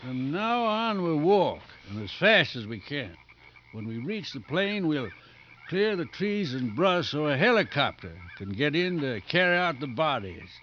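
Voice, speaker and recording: A steady, firm male sheriff, plain-spoken and dutiful. His voice is a little high and swings in pitch, on a dull 1950s recording. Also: a little slow. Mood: businesslike. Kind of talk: 1950s radio drama acting. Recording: muffled, hissy 1950s radio recording.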